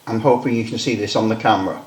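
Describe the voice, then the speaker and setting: A man's voice: on the low side, steady tone, fast, a little mellow, slight background noise, low-quality recording. A man giving a casual hands-on demonstration of CB radio equipment, thinking aloud as he fiddles with settings and microphones. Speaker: CB radio hobbyist demonstrating gear. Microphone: headset microphone for part of the test.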